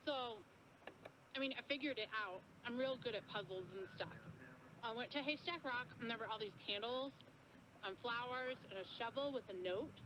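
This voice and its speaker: A smug, chatty beachcomber phones in to a radio show to brag, played by a woman. Her voice sits high, and a steady background noise hangs under the line. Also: normal speed, dull audio, close to an everyday voice. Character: boastful young woman beachcomber calling in. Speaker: caller.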